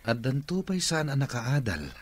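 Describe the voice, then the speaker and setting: An actor with an Ilocano accent playing an ailing, commanding business boss in a radio drama, authoritative and deliberate. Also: male voice, medium pitch, sing-song, heavy background noise, natural voice. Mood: decided, reassuring.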